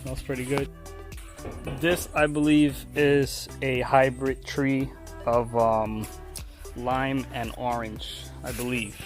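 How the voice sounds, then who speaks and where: A man's voice: medium pitch, level tone, takes it slowly, soft background music. A man from Puerto Rico talking casually to his viewers as he tours and tastes things. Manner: chatty, addresses viewers directly. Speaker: travel vlogger. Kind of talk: casual travel vlog.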